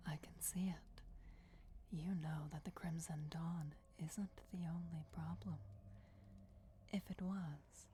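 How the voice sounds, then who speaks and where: A woman voicing an ancient, ruthless vampire goddess in a breathy, solemn whisper, in a fantasy ASMR roleplay. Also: fairly low pitch, dark tone, slight background noise. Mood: cold, solemn. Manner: slow, deliberate, measured with dramatic pauses. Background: crypt ambience.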